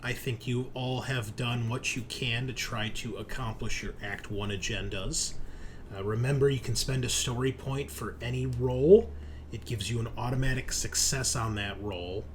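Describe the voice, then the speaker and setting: A man narrating a horror tabletop roleplaying game as game master, dramatic and deliberate in his delivery. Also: medium pitch, fairly even intonation, slight background noise.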